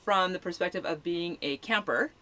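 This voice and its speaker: A middle-aged woman with a low voice, co-hosting a casual live-stream chat and telling stories with laughter. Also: normal speed. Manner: animated, laughing storyteller. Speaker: co-host and campground staff.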